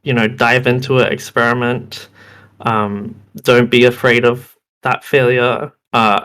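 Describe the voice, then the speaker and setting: A young man who is slightly hoarse from being sick, giving a game development talk over a video call with many ums and restarts. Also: mid-range voice, fairly bright tone. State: hoarse, losing his voice. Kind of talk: presentation talk.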